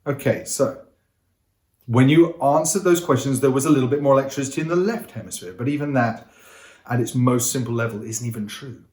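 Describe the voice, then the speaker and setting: A man giving an energetic, interactive lesson, coaxing listeners to join in and speaking to them directly. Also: medium pitch, fairly quick. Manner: upbeat, coaxing, addresses viewers directly. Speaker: teacher. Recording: roomy echo.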